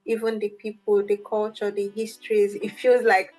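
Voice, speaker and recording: A woman's voice: mid-range voice, completely flat delivery, moderate pace, darkish tone, low-quality recording. A young woman with a Nigerian accent, a guest in an interview, earnest and reflective with light amusement. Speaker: guest, language advocate. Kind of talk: interview answers.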